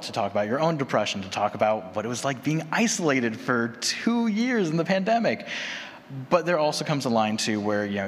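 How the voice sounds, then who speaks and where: A man giving a casual presentation as a panelist, guiding the discussion along. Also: mid-range voice. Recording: echoey hall, background noise.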